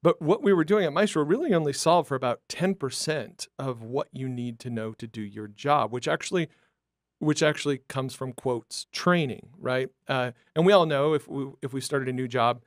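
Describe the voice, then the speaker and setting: A man being interviewed as a startup founder, telling his story in a lively, talkative way. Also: mid-range voice, normal speed, no echo, studio quality. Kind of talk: conversational interview.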